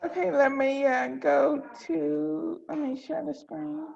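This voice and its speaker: A woman's voice: high voice, flat delivery, slow pace, vocal fry, a little mellow. A woman hosting a table read in casual chat over a video call. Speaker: organizer and host of table read. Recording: dull lo-fi video call audio.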